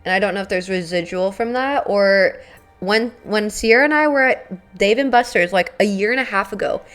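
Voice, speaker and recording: A young woman chats loosely with her viewers, drifting from topic to topic with plenty of fillers and casual swearing. Her pitch sits mid-range for a woman. Soft music plays faintly behind her. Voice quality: raspy. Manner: chatty, rambling, swearing, many fillers. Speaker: streamer. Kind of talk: casual live-stream chat with viewers.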